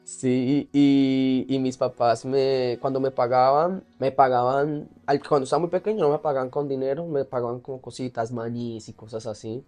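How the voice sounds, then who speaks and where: Male interview guest, Colombian accent, soft mellow tone, mid pitch, candid and conversational, light music behind. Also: fairly even intonation, normal speed, hardly any pauses. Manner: candid, often laughing as he talks. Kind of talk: casual personal storytelling.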